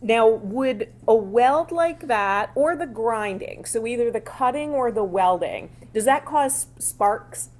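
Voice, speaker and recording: A woman attorney questioning a witness in court, with a loud voice and a conversational, plainspoken style of quick follow-up questions. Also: fairly high pitch, lively intonation.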